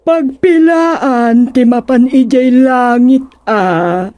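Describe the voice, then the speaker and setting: A mocking guide to the afterlife from a comic radio serial. The delivery is teasing and drawn out to an extremely slow crawl. The tone is dark and the recording very dry. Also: high-pitched, no echo. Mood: gleeful teasing. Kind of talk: comedic radio drama acting.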